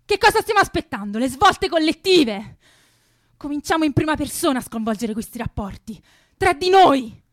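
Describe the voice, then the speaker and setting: An actress playing an outspoken young activist in a radio drama, challenging and emphatic. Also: female voice, high-pitched, very animated, normal speed, dry recording, studio quality. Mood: indignant. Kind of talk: naturalistic radio drama acting.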